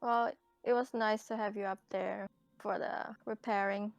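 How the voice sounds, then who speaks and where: A woman playing a tabletop roleplaying game, chatting casually in character in a hesitant, low-key way. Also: steady tone, measured pace, vocal fry, no room sound.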